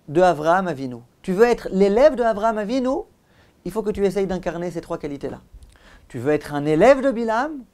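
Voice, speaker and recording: A man giving a Torah class as a rabbi, didactic and emphatic, asking rhetorical questions, repeating for emphasis and letting phrase endings drop softly. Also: sits high. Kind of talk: Torah lecture.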